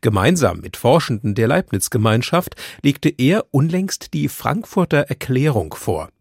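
Measured male radio news presenter, dry studio. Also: mid-range voice, very animated, gravelly, darkish tone, husky, no echo. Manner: measured, matter-of-fact delivery. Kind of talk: scripted radio narration.

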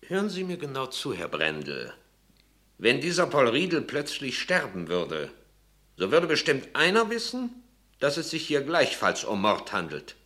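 An actor playing a stern, exacting lawyer who speaks in a cool, precise, lecturing way. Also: male voice, medium pitch, huge pitch swings, unhurried, pushed and bright, full character voice. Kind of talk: radio play acting.

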